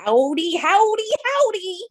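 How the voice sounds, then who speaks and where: A woman's voice: extremely high pitch, very animated, a little slow, slight background noise, full character voice. A woman voicing an exasperated talking shark in a comic theatrical table read. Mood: playful.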